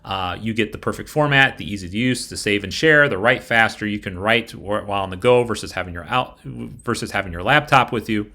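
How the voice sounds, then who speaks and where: A man presenting a casual software review, explaining things informally and speaking straight to the viewer. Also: fairly low pitch, brisk, few pauses. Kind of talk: casual product walkthrough over screen capture. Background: background music.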